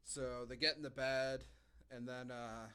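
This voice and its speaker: A man's voice: mid-range voice, little pitch movement, measured pace, sharp tone, a little hiss or hum. A man hosting a podcast, chatting casually about movies with sarcastic, exasperated asides. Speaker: podcast host. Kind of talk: casual movie review banter.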